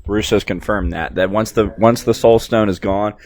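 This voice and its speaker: A man's voice: on the low side, normal speed, darkish tone. A man giving casual, enthusiastic, sweary reaction commentary on a film as its host. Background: movie music.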